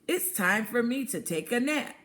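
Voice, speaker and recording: A woman's voice: fairly high pitch, lively intonation, normal speed, fairly bright tone. A woman voicing an idle, playful dog in a children's storybook, emphatic and full of excuses. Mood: offhand refusal. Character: idle, playful dog who likes naps.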